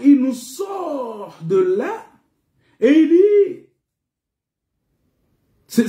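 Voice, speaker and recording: A man preaching a sermon in an emphatic, repetitive teaching manner, pausing on rhetorical questions. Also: extremely high pitch, warm tone. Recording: echoey, reverberant room.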